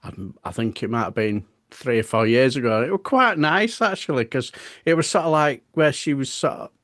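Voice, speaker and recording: A man's voice: medium pitch, animated, normal speed, a bit bright, no echo, studio quality. A man in his fifties chatting casually on a podcast, rambling and restarting his sentences. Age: 50s. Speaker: podcast co-host. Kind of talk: casual chat.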